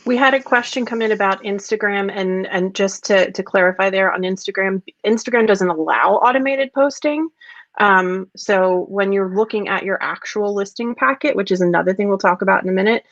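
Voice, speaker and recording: A woman's voice: mid-range voice, brisk, a bit bright, dry recording. A woman hosting a webinar in casual, guiding talk. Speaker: webinar host. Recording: dry, slightly dull online audio.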